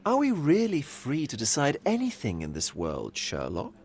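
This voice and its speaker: An actor playing a theatrical, mocking gentleman who preaches cynical philosophy in a video game, teasing and grand. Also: huge pitch swings, normal speed. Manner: theatrical, sweeping pitch swings, teasing.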